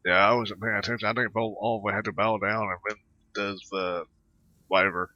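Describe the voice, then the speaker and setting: A man with a lowish, very forceful edge to his voice, recorded on a dull lo-fi mic. He comments casually on his gameplay, haltingly, as if talking to himself. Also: steady tone, dry recording. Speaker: gaming video host. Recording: dull lo-fi microphone.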